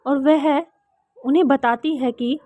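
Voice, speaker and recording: A woman's voice: sits high, fairly even intonation, slow pace, dry recording, narrow, tinny audio. A woman reading scripted narration for a children's cartoon story.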